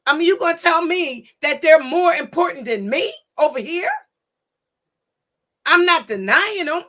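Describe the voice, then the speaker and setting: A woman with a high, bright voice argues her point in a heated casual debate. She presses hard, repeats herself and restarts sentences. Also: animated, unhurried. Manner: insistent, repeats and restarts, emphatic. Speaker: discussion participant.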